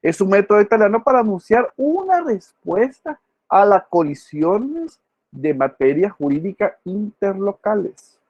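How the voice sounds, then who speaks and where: A man's voice: high-pitched, big pitch swings, a little slow, dry recording, lo-fi sound. A male law professor giving an online class, quizzing students by name and repeating key words. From El Salvador.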